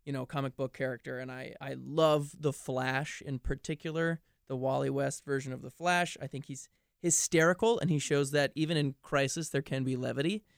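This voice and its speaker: A man's voice: mid-range voice, steady tone, normal speed, creaky, clean tone, no room sound, clean studio sound. A man talking casually as a podcast guest, earnest and enthusiastic with many fillers. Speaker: podcast guest, stage actor. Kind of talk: casual chat.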